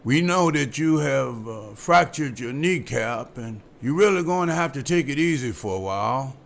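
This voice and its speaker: A man reading the part of a doctor in a table read, calm and advising. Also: mid-range voice, moderate pace, vocal fry, a little hiss or hum. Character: doctor, and a concerned husband.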